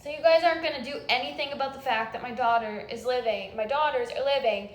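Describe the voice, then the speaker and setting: A woman's voice: sits high, quick pace. A young woman on a phone complaint call, upset and exasperated, pressing her demands in an insistent, confrontational way. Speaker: caller. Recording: roomy, echoing phone call recording.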